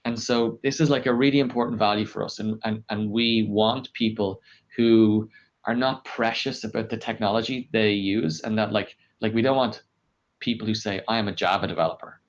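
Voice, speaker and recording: A man explaining his work in a conversational interview, measured and explanatory, as a podcast guest. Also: lowish voice, steady tone. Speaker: podcast guest, engineering director. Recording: dull, lo-fi podcast audio.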